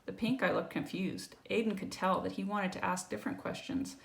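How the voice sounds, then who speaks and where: A woman tells a picture-book story to a child in a creaky, slightly low voice. She slips between reading and chatty explanation, often checking in with a short question. The room is echoey, with faint noise behind her. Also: steady tone, fairly quick. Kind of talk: read-aloud storytelling with casual asides to a child. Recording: roomy echo, faint background noise.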